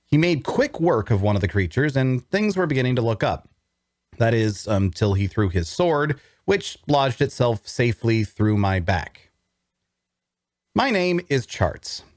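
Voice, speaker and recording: A man's voice: medium pitch, big pitch swings, a little slow, darkish tone, dry recording, clean studio sound. An actor playing a sardonic, haunted necromancer outcast who narrates his own tale in a wry, theatrical way for an audio drama. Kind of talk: first-person dramatic narration, scripted.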